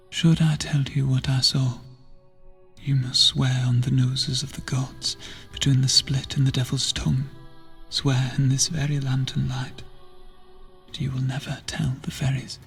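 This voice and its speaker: A man playing a gentle, guilt-ridden lantern bearer in a fantasy video game, speaking softly in old-fashioned phrasing, half-whispered, hesitant and solemn. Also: mid-range voice, heavily acted. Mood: grave, secretive. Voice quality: breathy, husky, half-whispered. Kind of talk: soft-spoken video game NPC dialogue, theatrical archaic phrasing.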